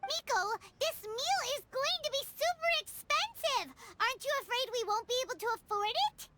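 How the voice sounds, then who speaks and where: A woman voicing a small, excitable and easily indignant childlike fairy companion in an anime video game, squeaky and exclaiming. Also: normal speed, very noisy. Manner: speaks of self in third person, exclaiming. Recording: loud music behind the voice.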